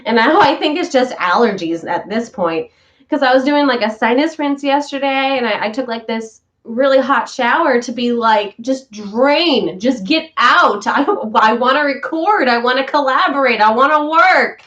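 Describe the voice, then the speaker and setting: A woman's voice: sits high, animated, normal speed, a bit bright. A woman hosting a casual morning vlog from home, talking in a chatty, rambling way while a little stuffy from sinus trouble. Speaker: vlog host, voice worker. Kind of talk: casual morning chat to viewers.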